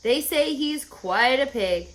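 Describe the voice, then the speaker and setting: A woman voicing a calm, thoughtful old male country doctor in a storybook, musing and reassuring in an unhurried way. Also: sits high, pitched above the performer's own voice. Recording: echoey room, faint background noise.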